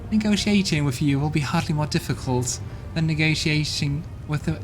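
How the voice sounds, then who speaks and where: A man's voice: medium pitch, lightly acted. A man voicing an arrogant, haughty female demon who talks with contempt, over game music. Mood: scornful.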